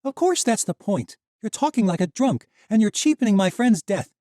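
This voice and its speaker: Audiobook: male reader as a raw, fiery young woman, high and mellow-edged, lively pitch. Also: dry recording, clean studio sound. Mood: furious. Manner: sharp, pleading, quick to anger. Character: fierce grieving young woman warrior. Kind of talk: audiobook character voice.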